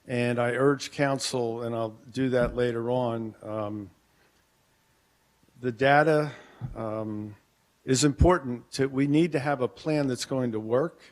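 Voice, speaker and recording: Male business owner, public comment; plainspoken, halting, cautious, podium mic in council chamber. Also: mid-range voice. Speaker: merchants association president speaking at public comment.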